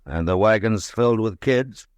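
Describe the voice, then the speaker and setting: A steady frontier marshal, voiced by a man in an old radio western, speaking in a very deep, low voice. He talks in a dry, measured, firm way. The sound is close and dry. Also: dry recording, natural voice. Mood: calm. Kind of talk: 1950s radio drama acting.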